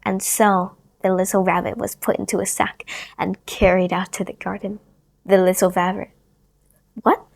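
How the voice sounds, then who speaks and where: A woman reads a children's storybook aloud, gentle and expressive. Her voice sits at an ordinary middle pitch, and now and then she lowers it to a near-whisper to draw the listener in. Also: creaky. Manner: gentle, expressive read-aloud, sometimes hushed. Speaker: storybook reader.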